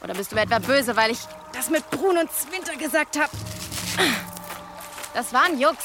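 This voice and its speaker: A woman's voice: high-pitched, expressive, normal speed, over music. An actress playing a cheeky, blunt village girl in casual, naturalistic audio drama dialogue. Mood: calling, uneasy. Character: cheeky, blunt, teasing village girl who hunts and forages.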